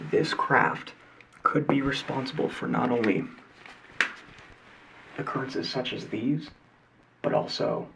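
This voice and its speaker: A man voicing an earnest 1950s television presenter, speaking in soft, mostly unvoiced whispers. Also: medium pitch, vocal fry, distant mic, background noise. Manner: mostly unvoiced whispering, old-fashioned host patter. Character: earnest male 1950s TV presenter, folksy and sure. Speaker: ASMR creator playing a 1950s TV host. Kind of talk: soft-spoken ASMR roleplay of a 1950s talk show.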